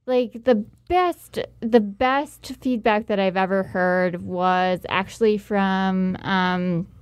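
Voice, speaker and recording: Slow-talking woman co-hosting a talk show. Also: mid-range voice, smooth, no echo. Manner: teasing, questioning, chatty. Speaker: co-host and comedian. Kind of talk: casual talk show chat.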